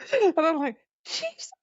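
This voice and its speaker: Excitable woman with slightly dull, dry sound. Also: extremely high pitch, dry recording. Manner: excitable, exclamatory reactions. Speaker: podcast co-host. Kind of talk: casual book discussion.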